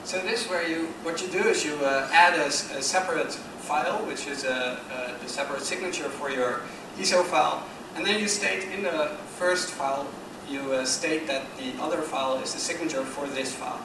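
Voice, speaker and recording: A Dutch man presents a technical talk in a conference room, pausing and restarting often as he walks through his slides. His voice sits a little high. It is picked up from a distance, with heavy echo and steady background noise. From Netherlands. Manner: hesitant, many fillers and restarts while explaining slides. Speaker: conference speaker. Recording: echoey hall from far off with noise.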